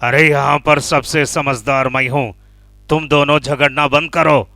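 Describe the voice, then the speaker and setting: A man's voice: a little high, steady tone, unhurried, vocal fry, bright, forceful tone, dry recording, studio quality, full character voice. A man voicing a hungry, scheming lion king in an animal story, self-important and commanding. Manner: gloating, commanding.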